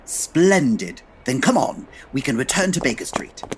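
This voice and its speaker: A woman playing a pompous, dryly impatient male Victorian detective with a husky voice and formal, precise diction, in a comedy audio drama. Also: extremely low pitch, big pitch swings, moderate pace, very noisy. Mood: urgent.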